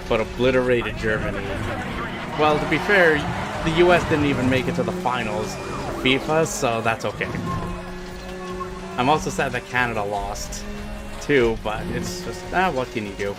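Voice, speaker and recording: A man's voice: medium pitch, moderate pace. Chatty male streamer over game music. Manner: thinks aloud, apologetic, chatty with viewers. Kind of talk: casual livestream chat while playing a racing game. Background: game music and engine noise.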